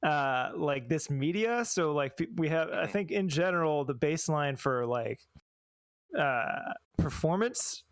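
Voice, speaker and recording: A man talking casually as an interview guest, halting and thoughtful as he explains things. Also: a little high, animated, no echo, studio quality. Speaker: guest, fan translator.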